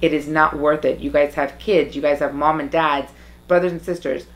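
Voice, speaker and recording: Low-voiced, emphatic woman from Guyana. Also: fairly even intonation, moderate pace, low-quality recording. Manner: emphatic, repeats key points, pleading. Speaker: interview guest advising the community on legal cases. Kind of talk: direct, conversational advice.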